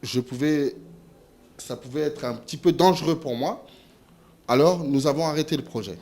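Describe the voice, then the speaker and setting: A young man from France speaking on a discussion panel, halting and full of hesitations and repeated words. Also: on the high side, a little mellow. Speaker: panel speaker, event organizer.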